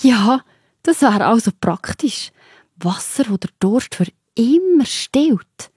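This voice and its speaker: Mellow, husky Swiss German woman storyteller. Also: on the high side, very animated, slow, no echo, studio quality. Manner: simple, explanatory, addressing young listeners directly. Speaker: narrator. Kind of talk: scripted storytelling for children.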